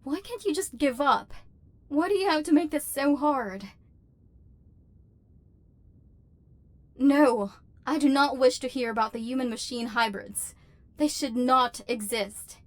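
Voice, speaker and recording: Robot overlord played by a woman: female, fairly high voice, stiffly formal, embittered yet secretly tender, for ASMR roleplay. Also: no echo, lightly acted. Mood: flustered, defiant. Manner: formal, precise, stilted diction with wounded feeling. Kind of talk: scripted ASMR roleplay acting.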